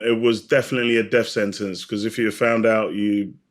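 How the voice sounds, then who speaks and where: A man's voice: fairly low pitch, little pitch movement, a little fast. A man speaking as an interview guest, explaining and telling history in a conversational, searching way. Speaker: podcast guest, bartender and author.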